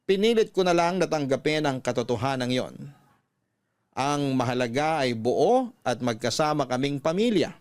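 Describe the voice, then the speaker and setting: A man narrating a resigned wife's first-person story for a radio drama, measured and earnest. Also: mid-range voice, lightly acted. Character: weary, resigned wife telling her own story. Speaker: host and narrator.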